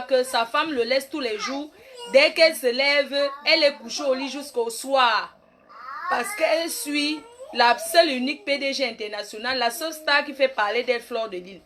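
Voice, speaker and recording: A woman's voice: fairly high pitch, fairly bright tone. A woman playing a brash, boastful self-styled queen mother in a rambling comic monologue. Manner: bossy, rambling, mock-grand, trash-talking. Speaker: comic online personality. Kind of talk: comic live-stream monologue.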